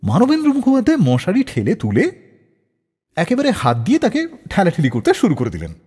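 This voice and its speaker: A man hosting a radio show, telling anecdotes in a dramatic, expressive scripted style. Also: high-pitched, fairly quick, husky. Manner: expressive, acts out quoted dialogue. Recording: long reverb on the voice.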